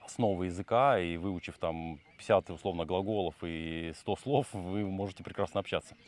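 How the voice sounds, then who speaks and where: Man, middle-aged, from Tajikistan, deep voice, hesitant, thinks aloud, casual vlog. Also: fairly quick, darkish tone. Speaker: channel co-host.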